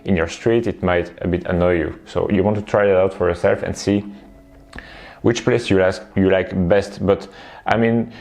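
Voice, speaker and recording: A man with a deep, low voice, chatting in a relaxed way about his life abroad. He talks loosely, with lots of fillers and quick "right?" checks to his viewers. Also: vocal fry. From Belgium. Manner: relaxed, chatty, many fillers and tag questions. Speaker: vlogger and online coach. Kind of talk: casual talk to camera.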